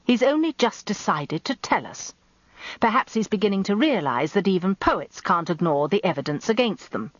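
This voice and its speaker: Woman acting older male barrister, gruff, dry, sardonic, sharp-tongued, lively pitch, dull lo-fi radio drama sound. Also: moderate pace, no echo.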